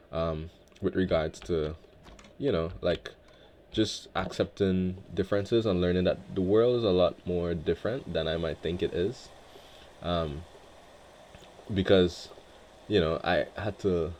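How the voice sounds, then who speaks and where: Deep-voiced laid-back gameplay commentator. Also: male voice, a little mellow, slight background noise. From Guyana. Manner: laid-back, reflective, many filler words. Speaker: Minecraft let's play host.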